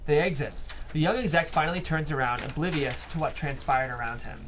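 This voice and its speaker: A man's voice: fairly high pitch, a little fast, a bit bright, a little hiss or hum. A man reading stage directions as scripted narration at a screenplay table read.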